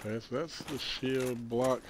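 A man in his thirties hosting a casual gameplay stream, stuffy with a cold and clearing his throat, rambling and correcting himself as he talks. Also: mid-range voice, fairly even intonation, a little slow, slight background noise. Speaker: streamer host.

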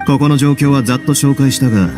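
An actor playing a self-assured duke in an anime game, dry and casually teasing. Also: male voice, mid-range voice, fairly even intonation, fast, music in the background, natural voice. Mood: composed. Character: cool, wry adult duke, self-assured prison overseer. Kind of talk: Japanese anime game voice acting.

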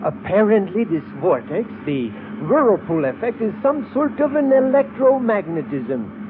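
A man's voice: sits high, sing-song, measured pace. A man playing a grave, precise astronomy professor in 1950s radio acting. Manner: precise, explanatory. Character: learned male astronomy professor, grave, deliberate. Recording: tinny, noisy old radio recording.

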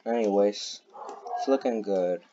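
A young man chatting over his own gameplay in a low voice, rambling, full of fillers and quick bursts of excitement. His mic sounds dull and lo-fi, with a faint hiss and keyboard clicks behind him. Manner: excitable, laughs often, rambling with many fillers. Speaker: gaming YouTuber. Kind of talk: casual gameplay commentary.

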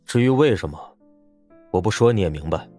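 A male narrator plays an arrogant military officer in an audiobook drama. He is husky and domineering, with a wide-swinging pitch and blunt, curt delivery. Music plays underneath. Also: lively intonation, fairly quick, mellow. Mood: flat, blunt. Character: arrogant, hot-tempered young military officer, protective brother.

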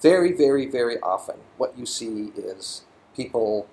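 A man's voice: mid-range voice, lively intonation, normal speed, a little mellow, poor audio. A middle-aged man giving a physics lecture as a voiceover to slides, thoughtful and full of ums and restarts.

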